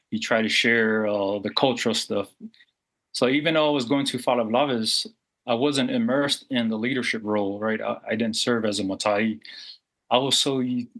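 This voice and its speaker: A man's voice: mid-range voice, a bit bright, poor audio. A man in his forties from Samoa, talking earnestly as an interview guest, reflective, with frequent fillers and restarts. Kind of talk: candid interview talk.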